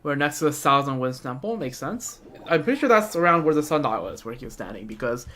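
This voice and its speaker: A man's voice: fairly high pitch, sing-song, fast talker, bright, forceful tone. A young man giving casual live stream commentary on a game, rambling and thinking aloud. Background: game music.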